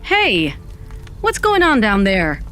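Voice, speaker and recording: A woman's voice: medium pitch, wildly swooping pitch, slow pace, pushed and bright, full character voice. A woman playing a sardonic undead woman, narrating an audio fiction in the first person. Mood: defiant. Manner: wry, dramatic, peppered with Spanish exclamations. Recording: music bed under the voice.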